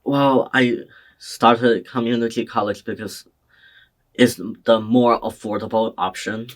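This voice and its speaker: A young man from China answering oral history interview questions in a slow, hesitant, soft-spoken way. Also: mid-range voice, steady tone. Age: young adult. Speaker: interviewee, community college student.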